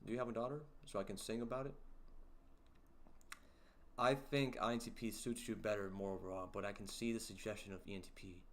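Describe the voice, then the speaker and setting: A young man hosting a casual livestream, reading chat aloud and joking around, with a deep voice. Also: steady tone, brisk, long pauses. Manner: playful, jokey, sings snippets and reads chat aloud. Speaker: livestream host.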